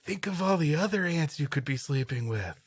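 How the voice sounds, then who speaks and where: A man's voice: a little high, expressive, normal speed, breathy edge, no echo. A man co-hosting a podcast, reading an old adventure story aloud and bantering playfully about it. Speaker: podcast co-host.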